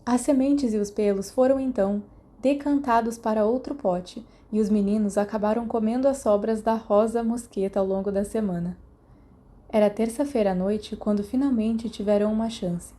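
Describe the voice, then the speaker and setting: Woman, Brazilian accent, story narration, very dark warm timbre. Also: medium pitch, normal speed. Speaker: podfic narrator. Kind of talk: scripted audiobook-style narration.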